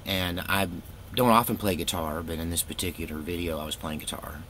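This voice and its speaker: A middle-aged man with a fairly low voice tells stories casually, wandering from point to point. He is laid-back and wry, quick to poke fun at himself. Faint noise sits behind his voice. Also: steady tone, sharp tone. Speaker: storytelling vlogger, former youth pastor. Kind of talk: casual rambling personal storytelling.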